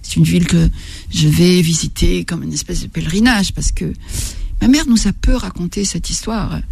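Older woman, gravelly and husky voice. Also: on the low side, darkish tone, dry recording. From Algeria. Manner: halting, searching for words, many restarts. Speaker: writer and interview guest. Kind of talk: reflective interview conversation.

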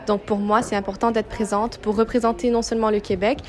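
This is a young woman, speaking as a youth organization representative. She gives explanatory answers in an interview, at a middling pitch for a woman. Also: flat delivery, a little fast, darkish tone. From Quebec, Canada.

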